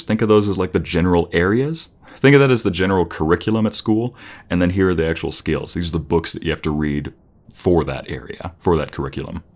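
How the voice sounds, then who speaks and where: Very deep-voiced man, playful boyfriend roleplay.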